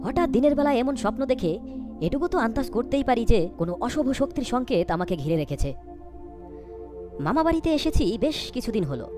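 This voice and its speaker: A young, fearful storyteller played by a woman recounts a cartoon ghost tale with dramatic wonder. The voice is on the high side and rises and falls freely. Music plays behind it. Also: big pitch swings, a little fast. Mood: uneasy. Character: young, anxious storyteller caught in eerie events. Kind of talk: scripted cartoon ghost-story narration and dialogue.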